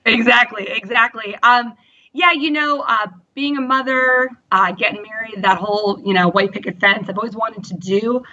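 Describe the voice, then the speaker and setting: A woman's voice: fairly high pitch, moderate pace, pushed and bright, muffled recording. A woman in her thirties, a guest in an interview, telling her own story in a chatty way with many fillers and quoted self-talk. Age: 30s. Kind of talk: candid personal story.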